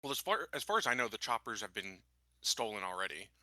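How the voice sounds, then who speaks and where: A man's voice: lowish voice, sing-song, fast, pushed and bright. A man playing a tabletop game, talking casually and arguing his reasoning through. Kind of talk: casual strategy talk during a roleplaying game.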